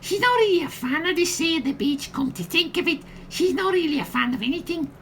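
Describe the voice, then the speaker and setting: A woman's voice: extremely high pitch, lively intonation, brisk, a bit bright, full character voice. A woman playing a giddy, tipsy holidaymaker in a comedy radio play, rambling on in a nosy, over-familiar way. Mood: cheerful, chatty. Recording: faint background noise.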